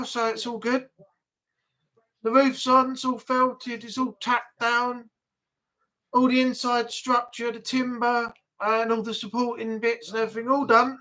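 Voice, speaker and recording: A woman's voice: a little high, pushed and bright, low-quality recording. A woman hosting a casual weekly livestream chat, talking to viewers in a chatty, rambling way. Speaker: livestream host.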